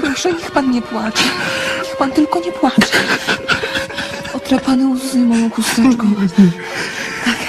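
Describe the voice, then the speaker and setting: A tender young woman in a radio drama, wounded and impulsive. Her high voice moves slowly and haltingly, full of feeling, over soft music. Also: rough recording. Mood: tearful, tender. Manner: slow, emotional, halting delivery. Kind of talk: Polish radio play acting.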